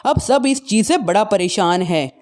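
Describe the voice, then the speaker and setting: A woman's voice: medium pitch, animated, normal speed, darkish tone, voice pushed down, lightly acted. A woman voicing a stern older mother-in-law who scolds and gives orders in a bossy, commanding way.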